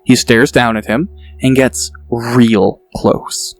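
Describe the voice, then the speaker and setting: Male audiobook narrator, mid pitch, steady and descriptive. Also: takes it slowly, no echo, faint music behind.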